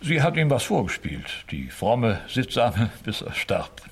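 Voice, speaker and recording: An actor playing a gruff, worldly military gentleman in a radio play, gravelly and husky, speaking in blunt, clipped phrases. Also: mid-range voice, very animated, unhurried, heavily acted. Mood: wry reminiscing.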